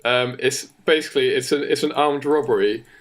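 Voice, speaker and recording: A man's voice: mid-range voice, fairly quick, a bit bright. A man co-hosting a podcast in casual, improvised comedy chat. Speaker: podcast co-host.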